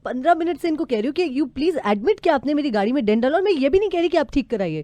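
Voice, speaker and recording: A young woman speaks in a high voice with dry sound. She is chatty and flustered as she complains on a call, slipping English phrases into her talk. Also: expressive, fast talker, warm tone, no echo. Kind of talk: agitated complaint on a phone call.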